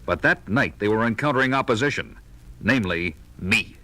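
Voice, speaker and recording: An actor playing a stern, heroic masked crime fighter, grave and declamatory in a 1970s superhero record drama. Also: male voice, on the low side, expressive, moderate pace. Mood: grave, narrating. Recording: loud music bed under voice.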